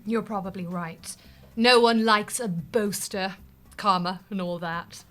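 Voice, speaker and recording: An actress with a British accent playing an earnest, gullible, excitable manager of an office in an audio comedy. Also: female voice, mid-range voice, measured pace, soft background music, natural voice. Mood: earnest, eager.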